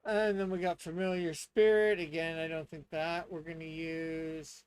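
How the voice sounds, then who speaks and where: A man in casual stream talk, sometimes reading rules aloud, hesitant and halting as he thinks out loud. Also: on the high side, level tone, clean tone. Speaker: streamer and tabletop player.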